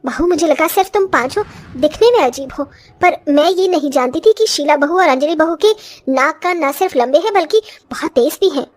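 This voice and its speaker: A woman voicing a short older village mother-in-law in a cartoon story, complaining and exclamatory but grudgingly soft-hearted. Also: sits high, lively intonation, brisk. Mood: grumbling. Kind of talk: Hindi cartoon story voice acting.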